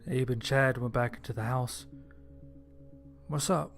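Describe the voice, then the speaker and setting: Flat-toned man narrating a story. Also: mid-range voice, a little fast. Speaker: narrator and voice actor. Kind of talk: scripted first-person audio story narration. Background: background music.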